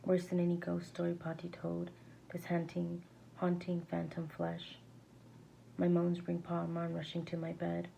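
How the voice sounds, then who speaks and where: A woman reading a verse novel aloud as an amateur, with a creaky, gravelly voice and a halting delivery that sometimes stumbles and rereads words. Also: fairly low pitch, monotone, mellow, rough recording. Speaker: amateur reader. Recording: faint background noise.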